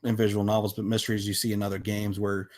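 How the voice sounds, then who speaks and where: A man with a fairly low voice talks casually as an interview guest. His phrasing is halting and hesitant, in the relaxed register of a podcast conversation about his own work. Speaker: podcast guest, visual novel developer.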